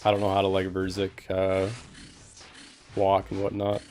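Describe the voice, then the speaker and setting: A man's voice: low-pitched, monotone, normal speed, darkish tone, a little hiss or hum. A young man streaming a game, giving easygoing commentary full of "like" fillers and answering chat questions. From Canada. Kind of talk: casual gameplay commentary.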